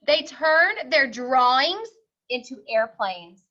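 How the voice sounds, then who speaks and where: A woman's voice: sits high, very animated, sharp tone, dull audio, exaggerated voice. A woman voicing a stern, dismissive male school principal from a storybook, speaking firmly and emphatically. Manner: slow, firm, emphatic.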